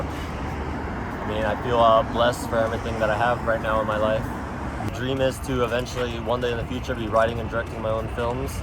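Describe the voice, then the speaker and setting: A man in his twenties from Texas, chatting hesitantly in a street interview with many filler sounds. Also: mid-range voice. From Texas, USA. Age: 20s. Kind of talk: casual chat. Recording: loud traffic noise outdoors. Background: loud traffic noise.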